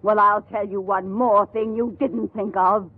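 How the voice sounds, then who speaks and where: An American actress playing a bitter, haughty fading stage star with theatrical indignation in a 1950s radio drama. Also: female voice, mid-range voice, steady tone, unhurried, natural voice. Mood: bitter, defiant. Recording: muffled vintage radio broadcast with music.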